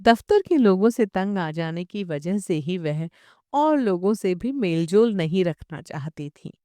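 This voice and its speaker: A woman reading a literary short story as an audiobook narrator, in measured, expressive storytelling. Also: fairly low pitch, no room sound.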